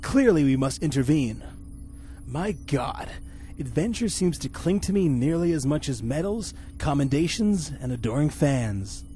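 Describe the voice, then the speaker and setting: A man's voice: fairly high pitch, animated, a little slow, warm tone, faint music behind. A man playing a pompous, incompetent, self-admiring spaceship captain in the style of a 1950s radio serial. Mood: grandiose. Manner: pompous, grandiose.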